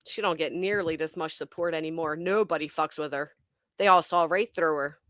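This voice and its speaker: A woman's voice: deep voice, a little fast, a bit bright, no echo. A woman hosting a commentary stream, talking bluntly and scornfully and swearing freely. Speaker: commentary channel host. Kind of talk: casual reaction commentary.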